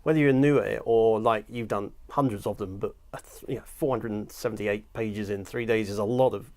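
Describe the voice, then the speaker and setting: A British man talks fast in a recording studio, his voice gravelly with a touch of fry. He keeps the chat casual and agreeable as the host. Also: lowish voice, fairly even intonation, a little mellow. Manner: chatty, laughs often, agreeable.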